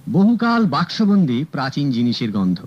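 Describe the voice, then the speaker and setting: An actor playing a sharp, confident private detective who calmly and cuttingly accuses a suspect. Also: male voice, fairly high pitch, normal speed. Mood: accusing. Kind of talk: 1970s radio drama acting. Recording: dull old radio recording, faint music.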